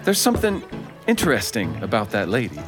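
An actor playing a smooth-talking con man who sells a miracle tonic in a musical radio drama. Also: on the low side, wildly swooping pitch. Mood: musing. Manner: fast salesman patter, sings. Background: guitar music.

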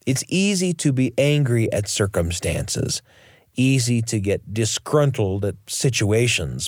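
A man hosting a radio show, telling stories in a reflective, scripted narration with deliberate phrasing. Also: mid-range voice, darkish tone, dry recording. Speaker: radio show host and storyteller. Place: radio studio.

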